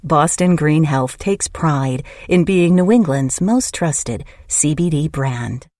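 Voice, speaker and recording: A woman reads a sponsor spot in a brisk promotional manner. Her voice sits very low and sounds dry and close. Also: animated, a little mellow, no room sound. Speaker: ad reader. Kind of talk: read sponsor advertisement.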